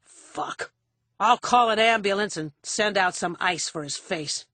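A tough, angry man who runs a bar as a female narrator acts him out in an audiobook. The delivery is curt, menacing and disgusted, forceful in tone and recorded very dry. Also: mid-range voice, normal speed, dry recording, lightly acted. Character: tough, angry barman throwing out a troublemaker. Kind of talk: audiobook character acting.

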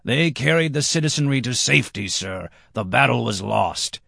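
A man voicing a drunken, boastful, impish nobleman who is quick to anger and speaks in emphatic declamation. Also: mid-range voice, animated, gravelly, fairly bright tone, no room sound, studio quality, voice pushed up, full character voice. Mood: proud, defiant.

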